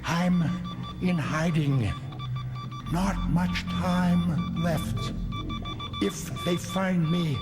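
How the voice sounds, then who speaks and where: High-pitched, fussy, high-strung scientist. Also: male voice, wildly swooping pitch, loud music behind the voice, heavily acted. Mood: terrified, desperate. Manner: theatrical, halting, high-strung. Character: fussy idealistic male scientist, indignant and frantic. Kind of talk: old time radio drama acting.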